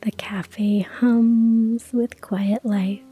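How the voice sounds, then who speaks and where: A woman narrating a cozy story in a hushed ASMR whisper, with soft laughs. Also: on the high side, fairly even intonation, very slow, slightly rough, soft background music.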